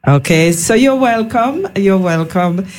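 A woman with a Caribbean accent hosting a live radio panel in a measured, deliberate, formal way. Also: mid-range voice, lively intonation, a little mellow. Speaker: radio host. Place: radio studio. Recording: faint background noise.

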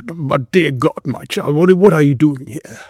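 Storybook role: gruff male police constable acted by a man, stern, authoritative, blunt, dry close sound. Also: fairly high pitch, a little slow, darkish tone, no room sound. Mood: softened, concerned. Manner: commanding, scolding, growling.

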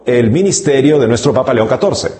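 A man hosting a formal broadcast interview, asking measured, courteous questions. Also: mid-range voice, expressive, mellow.

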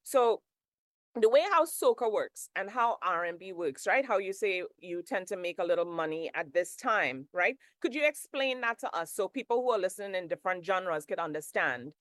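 A woman's voice: lowish voice, animated, normal speed, fairly bright tone, dry recording, studio quality. A woman hosting a casual talk show interview. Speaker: radio show host.

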